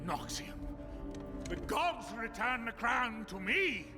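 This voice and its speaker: An actor playing a cruel, haughty defeated king in an animated fantasy cinematic, with an imperious, theatrical, drawn-out delivery. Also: very high voice, long reverb tail, exaggerated voice. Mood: defiant, fanatical. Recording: music behind the voice.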